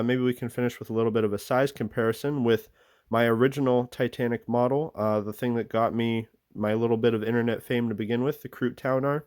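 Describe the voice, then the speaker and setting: Enthusiastic hobbyist man, smooth dry voice. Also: medium pitch, darkish tone, no room sound. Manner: rambling, filler-heavy, enthusiastic. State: losing his voice, a bit sick. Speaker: miniature hobby channel host. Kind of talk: casual show-and-tell walkthrough.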